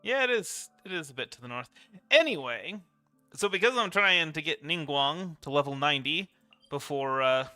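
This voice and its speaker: Male gaming streamer, offhand rambling commentary, sharp bright tone, higher than average. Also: animated, moderate pace, dry recording, faint music behind. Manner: rambling, thinking aloud. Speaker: game livestreamer. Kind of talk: casual game commentary, reads dialogue aloud.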